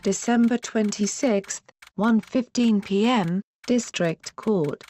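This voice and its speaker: Slow, mellow woman announcer. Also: medium pitch, soft background music. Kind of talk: scripted game narration.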